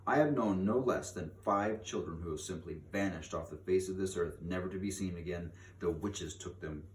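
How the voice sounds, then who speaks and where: A man's voice: low voice, normal speed, natural voice. Very old grandmother played by a man, grave, insistent storybook teller, emphatic, roomy distant recording. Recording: echoey room, distant mic, faint noise.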